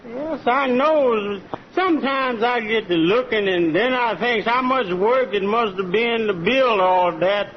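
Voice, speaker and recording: An actor playing a lazy, excitable comic ranch hand in an old radio show, with a folksy, ungrammatical drawl. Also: male voice, very high-pitched, a little slow. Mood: complaining. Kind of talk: 1940s radio comedy acting. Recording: muffled old radio recording, faint noise.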